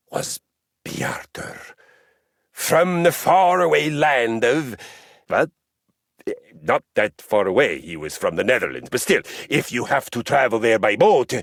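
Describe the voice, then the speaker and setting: An actor playing a boastful, honor-obsessed male exercise machine who talks like a Norse warrior, with a Norwegian accent and a gravelly, husky, grandiose delivery. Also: fairly bright tone, no echo, clean studio sound, exaggerated voice. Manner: pompous, theatrical swings in pitch. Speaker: dating game character. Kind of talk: video game voice acting.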